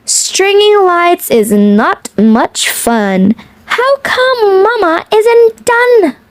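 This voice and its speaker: High, animated woman reading slowly to children. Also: no room sound. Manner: playful, sing-song rhyming delivery; chuckles often between lines. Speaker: children's read-aloud host. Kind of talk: expressive picture-book read-aloud with chatty asides.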